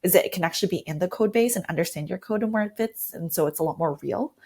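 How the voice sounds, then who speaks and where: A woman's voice: lowish voice, fast, a little mellow, slightly rough. A woman speaking as an interview guest, enthusiastically explaining how she works as a design lead in a conversational way. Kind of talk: conversational explanation of work process.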